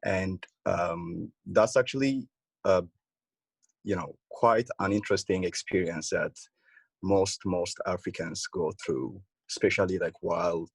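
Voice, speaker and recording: A young man from Ethiopia in a casual group discussion, talking haltingly with many fillers and restarts. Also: low voice, vocal fry, husky, dry recording. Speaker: participant.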